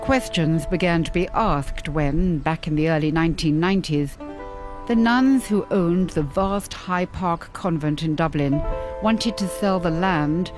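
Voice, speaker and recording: A woman reporter giving steady, measured documentary narration. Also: on the low side, over music.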